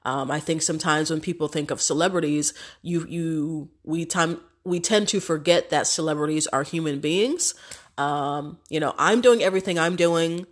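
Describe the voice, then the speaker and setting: A woman's voice: low-pitched, moderate pace. A woman hosting a casual solo podcast, congested from a cold, chatty and affirming with many fillers. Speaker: podcast host.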